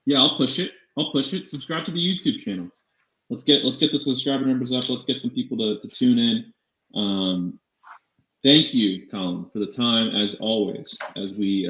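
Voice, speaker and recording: Low voiced guy cohosting fantasy sports show, kinda halting, roomy echo and muffled lofi audio. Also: a bit bright. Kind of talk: casual sports talk banter. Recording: echoey room, dull lo-fi mic.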